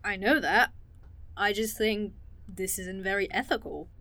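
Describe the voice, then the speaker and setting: A woman's voice: mid-range voice, a little slow, sharp tone. An actress playing a caring but torn woman conspirator in a naturalistic audio drama. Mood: uneasy, reasoning.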